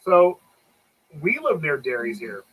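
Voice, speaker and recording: Animated, joking man co-host. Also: on the high side, a little slow, husky, rough recording. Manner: animated storyteller who jokes and laughs, chiming in. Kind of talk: casual chat, joking commentary.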